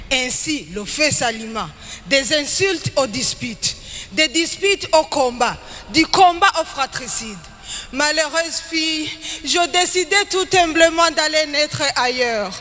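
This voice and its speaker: A woman's voice: high-pitched, sharp tone. A woman reciting dramatic spoken-word poetry on stage, with an emphatic, declamatory delivery. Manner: slow, emphatic, declamatory delivery with rising and falling phrases. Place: large hall at a live event. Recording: echoey hall with background noise.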